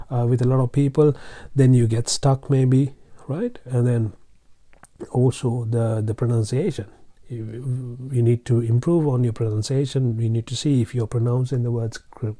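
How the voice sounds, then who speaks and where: A Sri Lankan man teaching English in a relaxed, talky way, speaking to learners directly and encouraging them. His voice is deeply dark and mellow, at an ordinary pitch for a man. He restates his points over and over and fills pauses with "uh" and "um". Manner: repeats and restates phrases, frequent fillers. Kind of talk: casual spoken lesson.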